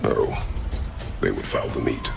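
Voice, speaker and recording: An actor playing a stern, laconic warrior father in a video game, gruff and formal in terse phrases. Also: unhurried, lightly acted. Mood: stern, measured. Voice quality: gravelly. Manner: terse, formal, without contractions. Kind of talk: video game cutscene acting. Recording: music behind the voice.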